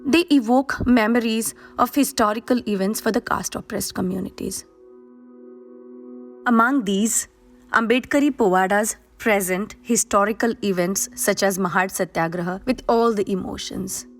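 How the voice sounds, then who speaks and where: A woman podcast host reading a scripted narration in a steady, earnest, explanatory way. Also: mid-range voice, normal speed, faint music behind.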